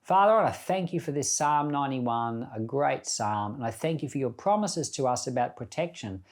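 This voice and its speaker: A man's voice: medium pitch, lively intonation, moderate pace. A man speaking as a pastor, giving informal Bible teaching in a reflective, conversational storytelling manner.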